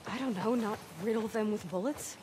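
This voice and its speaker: A woman's voice: mid-range voice, brisk, lightly acted. An actress playing a blunt, compassionate young woman soldier in a video game, arguing back pointedly. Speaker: game character. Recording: game audio with noise behind.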